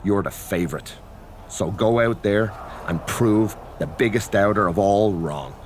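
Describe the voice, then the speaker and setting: A man's voice: lowish voice, a little slow, noisy background, natural voice. A man playing a blunt, goading but encouraging friend in a wrestling game cutscene. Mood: firm, rousing. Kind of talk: video game cutscene acting.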